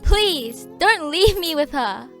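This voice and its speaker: A woman's voice: very high voice, lively intonation, slow, fairly bright tone, faint music behind, exaggerated voice. A woman playing a proud but desperate captive huntress in an audio drama, strained and defiant. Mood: pleading. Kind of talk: fiction podcast audio drama acting.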